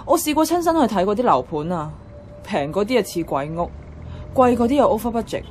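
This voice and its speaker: An actress playing a feisty, grumbling young flat-hunter with a Hong Kong Cantonese accent, expressive and slangy in a radio drama. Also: female voice, mid-range voice, brisk, slight background noise, close to an everyday voice. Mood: exasperated.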